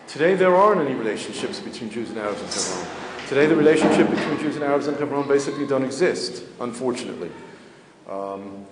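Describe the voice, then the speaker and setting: A man, a community spokesman answering journalists' questions in a measured, matter-of-fact way, pausing to search for words. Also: medium pitch, expressive. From United States. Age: 50s. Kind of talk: press interview answers. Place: indoor hall. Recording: echoey hall, faint ambient noise.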